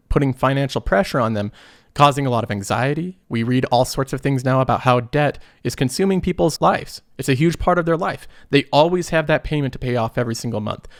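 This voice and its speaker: A man's voice: mid-range voice, no echo, studio quality. Man with a cold talking personal finance. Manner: earnest, conversational, with restarts. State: recovering from a cold. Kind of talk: casual explanatory monologue.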